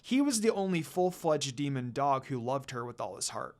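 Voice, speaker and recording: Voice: mid-range voice, big pitch swings, normal speed, a little mellow. A narrator reading a fan fiction story aloud in a dramatic storytelling style.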